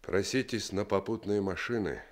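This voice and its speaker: An actor in a Soviet radio drama playing a tough, protective adult drifter, with a husky voice and a commanding but gruffly kind manner. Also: male voice, lowish voice, moderate pace, lightly acted.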